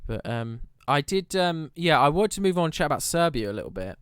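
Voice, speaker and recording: A British man hosting a podcast in casual chat, agreeable and quick to affirm and laugh along. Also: on the high side, sing-song, clean tone, no room sound, studio quality. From Britain. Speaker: podcast host.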